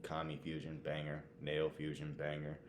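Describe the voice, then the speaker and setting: Low-voiced young man, noisy rumbling recording. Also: completely flat delivery, normal speed. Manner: slangy, emphatic. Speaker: podcast co-host. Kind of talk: casual fan chat. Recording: clear background noise with rumble.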